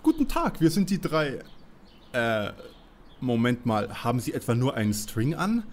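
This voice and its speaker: An actor playing a direct, plain-spoken young detective who questions people in a parody radio play. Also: male voice, medium pitch, big pitch swings, measured pace. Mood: inquisitive.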